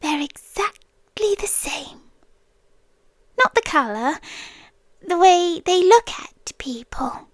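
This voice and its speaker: A British woman playing a wistful, devoted, inhuman childhood friend from the forest in an ASMR roleplay, speaking softly and intimately and often slipping into whispers. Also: very high-pitched, animated, slow, pauses often, no room sound, heavily acted. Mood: tender, forgiving. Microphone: close, dry studio-style microphone.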